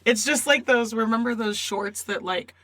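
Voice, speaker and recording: A woman's voice: on the high side, moderate pace, fairly bright tone, slight background noise. A young woman co-hosting a casual podcast, teasing her friend and laughing along. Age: young adult. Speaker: podcast co-host. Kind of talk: casual chat.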